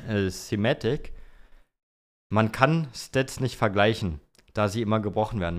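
A man co-hosting a podcast in casual, opinionated and teasing conversation, mixing in English words. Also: lowish voice. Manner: mixes English words into German. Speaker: podcast co-host. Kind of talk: casual chat about motor racing.

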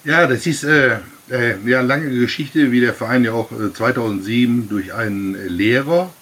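A man's voice: medium pitch, moderate pace, a bit bright, slight background noise. A middle-aged man, a rugby club president, telling his story with many fillers in long winding sentences. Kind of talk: casual interview answers.

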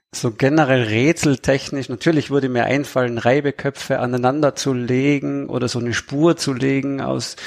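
Man with gravelly fry, chatting wryly. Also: medium pitch. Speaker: thriller author and podcast guest. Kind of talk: casual panel chat.

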